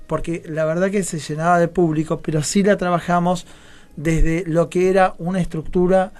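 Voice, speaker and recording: A man's voice: a little high, little pitch movement, moderate pace, darkish tone. A man with a Rioplatense Argentine accent, giving reflective, digressive answers as a guest theatre director, often checking in with tag questions. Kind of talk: reflective radio interview talk.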